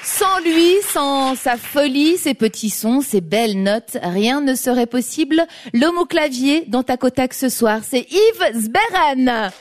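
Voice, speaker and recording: A woman hosting a live studio show in front of an audience, speaking in a lively presenter manner. Her voice sits a little high. She introduces performers and questions her guest. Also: slow pace, few pauses, a faint crowd, a little hiss or hum. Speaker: host.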